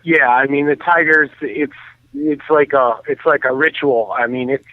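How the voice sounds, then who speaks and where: A man's voice: mid-range voice, fairly even intonation, normal speed. Man on a tinny phone line. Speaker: baseball blogger, phone-in guest. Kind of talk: casual sports chat. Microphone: telephone.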